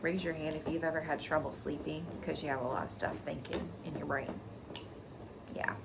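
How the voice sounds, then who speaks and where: A woman's voice: low-pitched, fairly even intonation, fairly quick, creaky. A woman teacher reading a children's story expressively, with casual explanations. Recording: noticeable background noise.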